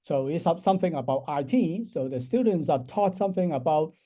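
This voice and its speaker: Mellow older man from Hong Kong. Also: fairly high pitch, animated, fairly quick. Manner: thoughtful, explanatory, frequent restarts and soft laughs. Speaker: university professor, interview guest. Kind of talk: unscripted interview answers.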